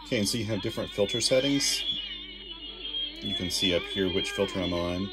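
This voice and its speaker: A man's voice: low-pitched, a little fast. A man giving a casual hands-on demo of radio gear as a hobbyist. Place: indoors at a desk. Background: shortwave radio music and sound.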